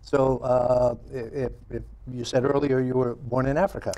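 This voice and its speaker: A man hosting a casual interview show, eager and chatty, often pausing with fillers and starting sentences again. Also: mid-range voice, level tone, dark tone, low-quality recording. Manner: halting, many fillers and restarts; enthusiastic, chuckles often. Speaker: talk show host.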